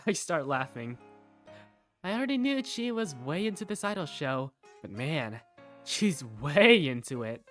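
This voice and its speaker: A woman plays an easygoing young visual novel protagonist in a fan dub. Her voice sits low for a woman and moves animatedly, with a casual, wry, teasing delivery. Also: very animated, measured pace, faint music behind. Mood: amused. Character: easygoing young protagonist narrating everyday life, wry and playful. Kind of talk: visual novel fandub narration and dialogue.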